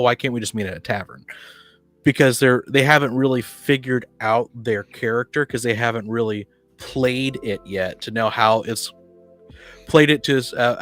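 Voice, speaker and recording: Male podcast co-host, mid-pitched, halting and rephrasing as he goes, casual hobby chat over soft music. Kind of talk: casual discussion of tabletop roleplaying.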